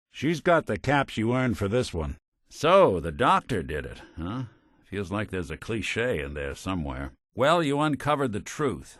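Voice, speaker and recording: An actor playing a world-weary American robot detective in a video game, with a wry, hesitant, hard-boiled noir delivery. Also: male voice, lowish voice, a bit bright, dry recording, studio quality. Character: world-weary synth detective, a machine with an old-time cop's personality.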